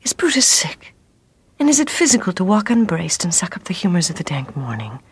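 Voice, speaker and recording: Pleading Roman wife, gravelly husky voice, urgent, radio play. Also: female voice, lowish voice, big pitch swings, fairly quick, full character voice. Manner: urgent, pleading verse delivery. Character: devoted, pleading Roman noblewoman, a loving wife. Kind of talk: classical stage radio drama.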